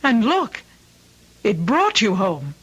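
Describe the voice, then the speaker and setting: A woman's voice: medium pitch, huge pitch swings, slow, breathy edge, lo-fi sound, full character voice. Casting: anxious mother of a grown daughter, middle-aged, mid-range voice, earnest and fussing, vintage radio play. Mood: concerned, relieved. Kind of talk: old-time radio drama acting.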